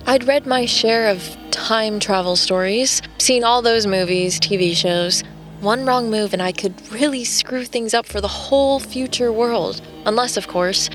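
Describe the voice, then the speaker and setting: A woman's voice: medium pitch, natural voice. An actress playing a wry, curious American woman, telling her story as conversational first-person audio drama narration. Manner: wry, musing.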